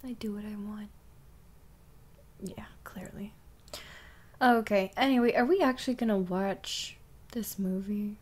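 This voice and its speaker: A woman's voice: medium pitch, unhurried, pauses often, darkish tone, close to an everyday voice. A young woman playing a bossy, teasing step-sister in an intimate ASMR roleplay, often dropping toward a whisper. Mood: teasing, relaxed.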